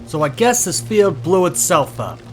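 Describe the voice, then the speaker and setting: A man's voice: on the high side, very animated, normal speed, a little mellow, soft background music. A man playing a hostile, jumpy cop in an audio drama, barking threats and commands. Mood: scornful. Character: hostile, jumpy, foul-mouthed American small-town male cop.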